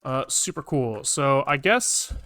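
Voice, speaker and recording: Clear-voiced man thinking aloud while coding. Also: medium pitch, animated, slow pace, sharp tone. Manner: informal, sweary, muttering to himself. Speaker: programmer live-streaming a coding session.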